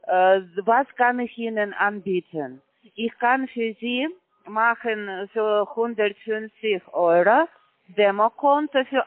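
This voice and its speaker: High-voiced man pitching over a phone line. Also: no echo. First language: not German. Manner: halting broken German with many fillers. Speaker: call center salesman.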